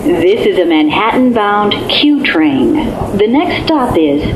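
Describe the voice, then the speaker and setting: A woman's prerecorded transit announcement, delivered slowly with a lilting, rising-and-falling pitch. Her clipped phrases sound pieced together, and she plays over an echoey loudspeaker inside a subway car, half buried under the loud rumble of the train. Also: big pitch swings. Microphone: camera recording the train's loudspeaker. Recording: PA speaker in a roaring subway car.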